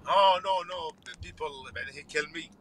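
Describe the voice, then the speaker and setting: A man driving a car who speaks halting English between laughs on a phone video call. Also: on the high side, a bit bright, a little hiss or hum, low-quality recording. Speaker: driver on video chat. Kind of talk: casual online chat. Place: inside a car.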